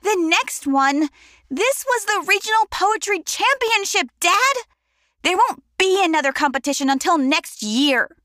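A woman plays a hurt, artistic young daughter in an audio drama. The voice is high and swings widely, sulky and accusing toward a parent, captured close and dry. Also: expressive, unhurried, sharp tone, no echo, full character voice. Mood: angry.

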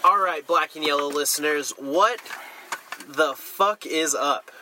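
A man's voice: a little high, unhurried, sharp tone. A young man hosting a podcast, hyped up, slangy and cussing freely. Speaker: podcast host. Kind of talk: casual podcast chat. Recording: noticeable background noise.

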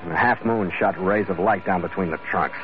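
An actor playing a tough, wry sailor and bodyguard in an old radio drama, terse and matter-of-fact. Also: male voice, low-pitched, brisk, lightly acted. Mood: grim narration. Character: tough, wry Irish-American sailor hired as bodyguard, narrating. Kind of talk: 1940s-50s radio drama acting, hard-boiled narration. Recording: dull vintage radio recording.

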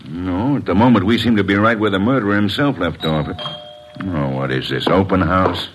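An actor playing a gruff, impatient police inspector with a husky voice, in classic radio drama style. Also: male voice, low voice, normal speed, slight background noise, natural voice, rough recording. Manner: gruff, businesslike.

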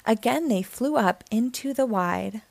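A woman hosting storytime, reading a children's picture book aloud in an expressive, deliberate storytelling manner. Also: fairly high pitch, vocal fry, dry recording. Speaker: storytime host and reader.

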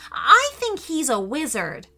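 Eager schoolboy, a woman putting him on, earnest, high and bright, with an animated lilt. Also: takes it slowly, faint music behind, full character voice.

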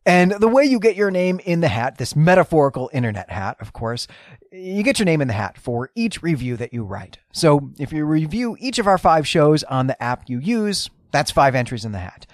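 A man's voice: fairly high pitch, very animated, normal speed, dry recording, studio quality. A man talking casually as a podcast co-host, chatty and lightly self-deprecating.

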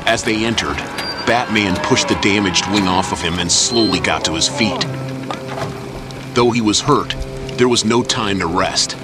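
A man's voice: fairly low pitch, a little slow, music in the background. A man narrating a scripted action story as an audio drama. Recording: loud dramatic score and battle effects behind.

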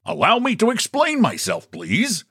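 A man's voice: high-pitched, huge pitch swings, a little slow, fairly bright tone, slightly rough, dry recording, studio quality, voice pushed up, heavily acted. A man voicing a short, fat, shabby book agent in a storybook, sly and mock-polite with a mysterious, pompous manner. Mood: indignant. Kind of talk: storybook character voice.

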